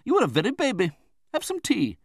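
A man's voice: very high-pitched, huge pitch swings, quick pace, vocal fry, dry recording, clean studio sound, pitched above the performer's own voice, heavily acted. A man voicing a brusque, domineering, eccentric older great-aunt in an audiobook of a classic novel, snappish and commanding. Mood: blunt, impatient.

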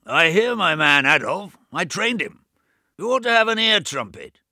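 A man's voice: high voice, very animated, moderate pace, bright, forceful tone, dry recording, clean studio sound, voice pushed up, exaggerated voice. A man reading aloud voices an ailing, boastful old man in his late seventies who complains petulantly. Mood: irritable. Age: over 70. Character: querulous sick old man, bedridden and boastful.

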